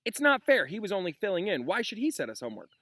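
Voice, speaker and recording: A man's voice: high-pitched, huge pitch swings, quick pace, pushed and bright, dry recording, clean studio sound, voice pushed up, exaggerated voice. A man voicing indignant, whining schoolchildren who exclaim in protest about unfairness in a storybook read-aloud.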